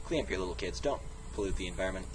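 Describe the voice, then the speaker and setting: A man's voice: low voice, very fast, noisy background. A man giving a mock-dramatic storybook reading of a text game, often breaking into casual, self-interrupting asides. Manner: theatrical, comically self-interrupting. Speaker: reader and player of a text game.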